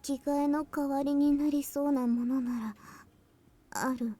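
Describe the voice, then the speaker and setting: A woman voicing a petite, quiet, caring schoolgirl in a visual novel, soft-spoken and hesitant. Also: sits high, steady tone, fairly quick, natural voice. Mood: quiet, matter-of-fact. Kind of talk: Japanese visual novel voice acting.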